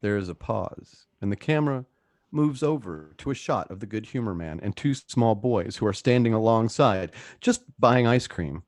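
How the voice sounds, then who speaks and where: A man's voice: on the low side, lively intonation, normal speed, no echo, studio quality. A man reading scripted stage directions as the narrator of a radio play. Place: at home, close dry microphone.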